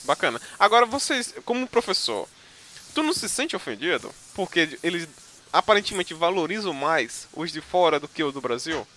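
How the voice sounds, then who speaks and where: A man's voice: on the high side, very animated, moderate pace, pushed and bright, a little hiss or hum. A man from Northeast Brazil with a Northeastern Brazilian accent, hosting a casual interview and talking animatedly with many fillers and restarts. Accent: Northeastern Brazilian Portuguese. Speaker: podcast host.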